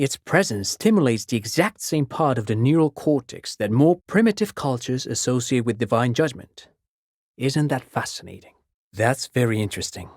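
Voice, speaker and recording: An actor playing a chatty, courteous amateur academic in a video game, explaining things precisely like an eager enthusiast. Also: male voice, medium pitch, no echo, clean studio sound. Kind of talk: game character dialogue.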